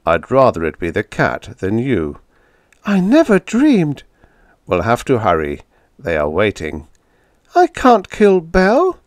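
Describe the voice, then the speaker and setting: A man voicing a frightened, lonely woman who pleads in high, trembling cries. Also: wildly swooping pitch, slow, a little mellow, dry recording, clean studio sound, heavily acted. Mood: distraught.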